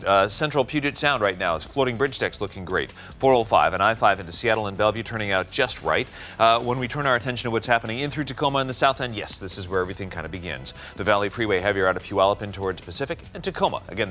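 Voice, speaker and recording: An American man gives a live traffic report for TV. He talks fast in a bright, forceful voice, with faint music behind him. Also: on the low side, expressive.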